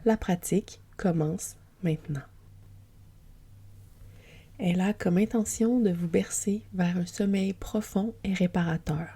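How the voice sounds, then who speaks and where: A woman gently guiding a yoga nidra relaxation for sleep, with a soft, breathy, soothing and unhurried delivery. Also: on the low side. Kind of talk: guided sleep relaxation, meditation narration.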